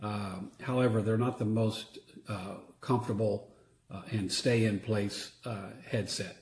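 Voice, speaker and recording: A man giving a casual, unscripted product demonstration in a halting, plain-spoken way. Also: lowish voice, steady tone, a little mellow, a little hiss or hum. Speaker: product reviewer; church security team member. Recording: roomy echo.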